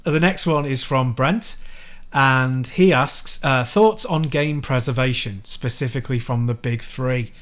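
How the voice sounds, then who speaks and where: An English man co-hosting a gaming podcast, with a calm, soothing way of talking and dry humour. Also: mid-range voice, measured pace. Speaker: podcast co-host. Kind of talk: casual banter.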